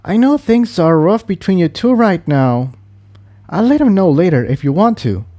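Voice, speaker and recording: Guy doing a lady unicorn navigator, gentle higher voice, soft spoken, practical, story narration character. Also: sing-song, normal speed. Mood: soft, sympathetic.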